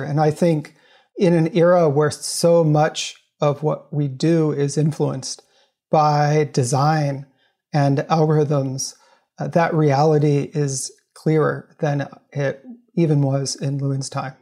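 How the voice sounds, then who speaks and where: A man's voice: a little high, level tone, slow pace, warm tone. A man from the United States, a professor, answering interview questions earnestly and explaining at length. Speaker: guest, professor.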